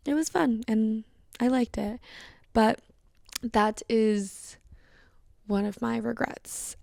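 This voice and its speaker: A young woman talks candidly into a close mic, reflective and unhurried, circling back with restarts and fillers. Her mid-pitched voice carries a lot of vocal fry. The sound is dry and close, with no room around it. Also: a little mellow, no room sound. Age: young adult. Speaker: podcast host. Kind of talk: casual solo podcast monologue.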